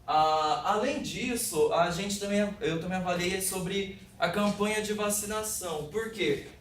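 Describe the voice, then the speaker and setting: Distant roomy recording, man, Brazilian accent, high-pitched voice, halting academic presentation, self-correcting. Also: fairly bright tone. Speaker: presenter of a research paper. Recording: echoey room, distant mic, faint noise.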